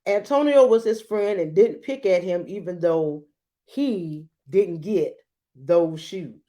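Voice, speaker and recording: A woman reading a storybook aloud like a teacher, adding expressive explanations and checking in with her listeners. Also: on the low side, slow pace. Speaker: children's book read-aloud teacher.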